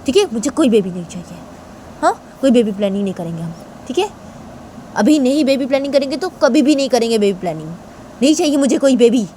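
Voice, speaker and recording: A woman as a high-pitched, furious young woman. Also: lively intonation, fast talker, mellow, slight background noise, natural voice. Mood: furious, dismissive. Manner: repeats herself insistently, scolding. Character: angry, stubborn young woman refusing to have a baby.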